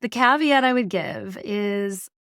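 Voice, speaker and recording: Casting: middle-aged Canadian woman, animated storyteller, mid pitch, dry close mic. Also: slow, clear, resonant tone, dry recording. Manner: animated storytelling, laughs often. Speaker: marketing executive guest. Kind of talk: podcast interview conversation.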